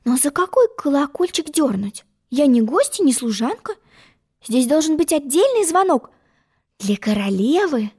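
Storybook role: woman as spirited little girl, high-pitched, emphatic, easily indignant and baffled. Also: big pitch swings, normal speed, a little mellow. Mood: puzzled. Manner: exclaiming, emphatic, plaintive.

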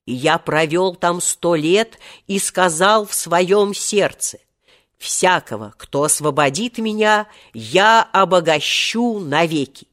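An ancient male genie from a children's storybook, acted out by a narrator, grandiose and full of menace. He speaks in archaic, pompous phrases with a short temper, at a medium pitch. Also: slow pace, a bit bright. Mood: grim, grandiose.

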